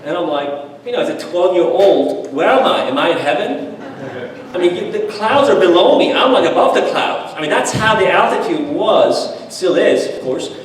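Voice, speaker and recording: A man tells a personal story at a church seminar, speaking with lively restarts and filler words. His voice carries a long, booming reverb that fills the room. He sounds distant from the microphone, with faint room ambience behind him. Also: moderate pace, a little hiss or hum. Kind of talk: personal testimony talk. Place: church hall. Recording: echoey hall, far from the mic.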